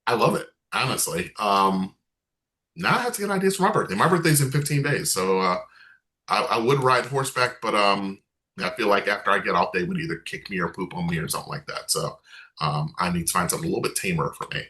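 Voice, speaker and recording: Bright-voiced chatty male host. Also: sits low, lively intonation, quick pace. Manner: chatty, upbeat, self-deprecating. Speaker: game show host. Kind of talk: casual online game night hosting.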